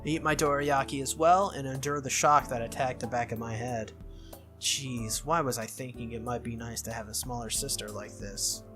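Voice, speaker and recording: A man's voice: mid-range voice, fairly even intonation, moderate pace, music in the background. A man narrating a visual novel aloud as a gaming video host, in a read-through style.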